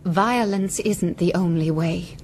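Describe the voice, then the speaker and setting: A woman's voice: lowish voice, takes it slowly, darkish tone, slight background noise. An actress playing a composed, principled lady castaway, speaking politely and earnestly in a radio drama. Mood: earnest, reproachful.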